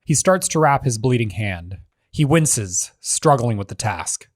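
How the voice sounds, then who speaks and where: A man's voice: mid-range voice, animated, a little slow, no room sound. Man narrating screenplay directions, dry close sound.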